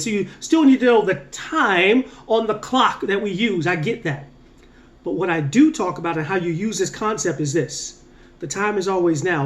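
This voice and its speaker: A man talking as a mindset coach, giving an earnest, casual motivational talk to camera, rising on key points and slowing down to stress his words. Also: high voice. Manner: earnest, repetitive, emphatic with drawn-out slow endings.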